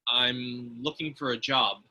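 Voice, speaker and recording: Joe, a raspy, bright-voiced harried everyman acted by a man. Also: mid-range voice, steady tone, unhurried. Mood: uneasy, dry. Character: Joe, harried everyman trying to save his job. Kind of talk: table read acting.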